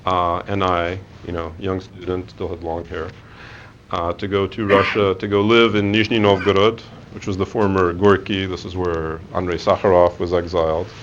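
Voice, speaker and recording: A man's voice: sits low, little pitch movement, moderate pace, lo-fi sound, a little hiss or hum. A man in his forties giving a conversational career talk to students, with many fillers and restarts. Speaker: guest speaker. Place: lecture room.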